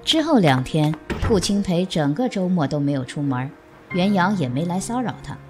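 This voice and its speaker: A woman's voice: fairly low pitch, sing-song, moderate pace, music in the background. A woman narrating an audio drama from a script, telling the story between scenes.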